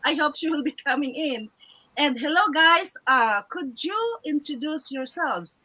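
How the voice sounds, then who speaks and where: Bright high-voiced woman hosting a panel. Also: lively intonation, a little slow, rough recording. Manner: formal, addresses guests as sis. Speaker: host.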